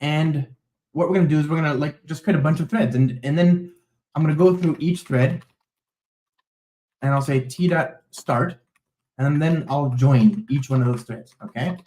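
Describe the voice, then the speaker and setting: A man's voice: on the high side, moderate pace, dark tone. Guy live coding and chatting to his stream, lots of ums and "right?", kinda echoey room. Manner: rambling, many fillers and "okay?", "right?" check-ins; talks to a live chat while typing code.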